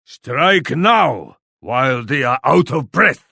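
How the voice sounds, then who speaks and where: A man's voice: mid-range voice, big pitch swings, takes it slowly, vocal fry, pushed and bright, husky, no echo, clean studio sound, full character voice. An actor playing a proud, grim Japanese warlord in a video game, solemn and declamatory as he addresses troops and allies. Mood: defiant resolve. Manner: solemn, declamatory, speaks of himself in third person. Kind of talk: theatrical video game voice lines.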